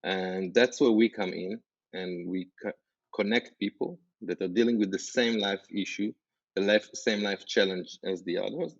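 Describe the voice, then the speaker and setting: An Israeli-accented man with a notably low voice speaks earnestly as a podcast guest, stopping and restarting his sentences and leaning on fillers. The audio is dry and a little muffled. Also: fairly even intonation, moderate pace, creaky, dry recording. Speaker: startup founder, guest. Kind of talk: podcast interview.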